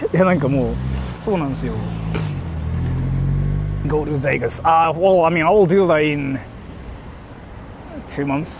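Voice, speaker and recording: A man's voice: fairly high pitch, moderate pace, pauses often, noisy background. A man chatting casually on a livestream, hesitant and repeating himself as he talks. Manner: hesitant, repetitive, mixes English and Japanese. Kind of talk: casual livestream chat with viewers.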